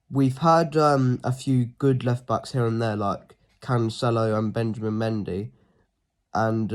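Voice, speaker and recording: A fairly low-voiced man talks through a football line-up on his own, sounding a little sniffly from a cold. He keeps it relaxed and unscripted, with plenty of ums, and lets the ends of his phrases drop off quietly. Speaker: football fan channel host. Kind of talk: casual solo preview talk.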